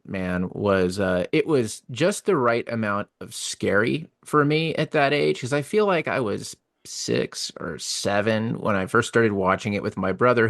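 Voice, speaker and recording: A man's voice: lowish voice, gravelly, dry recording, studio quality. A middle-aged man co-hosting a podcast, chatting informally and emphatically as a fan. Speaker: podcast co-host. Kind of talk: casual fan chat.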